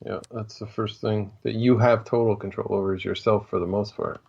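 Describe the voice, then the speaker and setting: A man chatting casually as a podcast co-host, agreeable and quick to chime in. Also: fairly low pitch, steady tone, creaky, darkish tone, breathy edge. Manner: agreeable, brief interjections. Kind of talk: casual chat. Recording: slightly dull, lo-fi sound.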